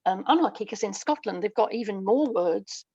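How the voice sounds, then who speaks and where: A woman's voice: medium pitch, expressive, fairly quick, creaky, warm tone, slightly rough, no room sound, highs cut off. A woman from England with an English accent, speaking reflectively and articulately as a guest in a casual interview. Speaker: guest, writer and audio dramatist.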